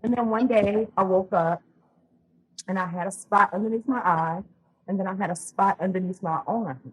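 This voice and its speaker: Podcast guest: woman, 30s, husky tone, rambling and conversational. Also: mid-range voice, moderate pace, rough recording. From Louisiana, US. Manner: chatty, animated storyteller with many ums and restarts. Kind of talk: casual storytelling interview.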